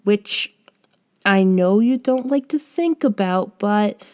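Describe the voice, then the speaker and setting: Woman acting a mellow, unhurried young female friend. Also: mid-range voice, animated. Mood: earnest, coaxing. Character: young woman, patient bossy friend coaching a reborn vampire. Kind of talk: scripted roleplay audio, one-sided conversation.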